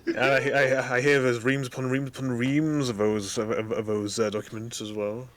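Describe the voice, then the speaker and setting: A man's voice: medium pitch, flat delivery, no room sound, close to an everyday voice. Man playing a stuffy, pompous commander. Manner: stuffy, formal, halting.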